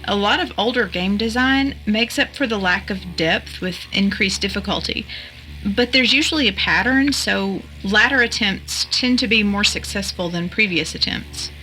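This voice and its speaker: A woman's voice: mid-range voice, normal speed, sharp tone, soft background music, natural voice, poor audio. A woman acting as a level-headed analyst in a mystery audio drama, speaking in an articulate, matter-of-fact way. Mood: analytical, matter-of-fact. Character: analytical, level-headed woman puzzling over a strange game.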